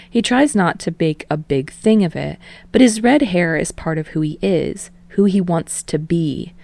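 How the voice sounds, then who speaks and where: A woman narrates a story in a scripted audiobook style. Her voice is low with noticeable vocal fry, and the sound is very dry with no room to it. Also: darkish tone, dry recording. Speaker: audiobook narrator of fan fiction.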